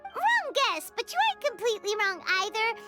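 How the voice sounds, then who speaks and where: Squeaky, excitable little fairy companion. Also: female voice, normal speed, loud music behind the voice. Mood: curious. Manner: exclamatory, expressive. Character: small, excitable childlike female fairy companion, chatty and blunt. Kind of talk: video game voice acting.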